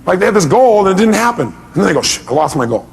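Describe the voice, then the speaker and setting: A man's voice: high voice, very animated, fast, gravelly. A man giving a motivational seminar talk to an audience, speaking emphatically and pressing points with rhetorical questions.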